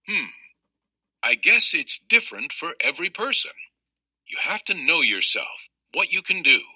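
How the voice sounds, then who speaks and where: A man voicing a patient, reflective storybook father who explains things in a measured, reassuring way. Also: on the high side, very animated, bright, forceful tone, no room sound, pitched above the performer's own voice, full character voice. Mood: thoughtful. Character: patient, reflective father advising his young child.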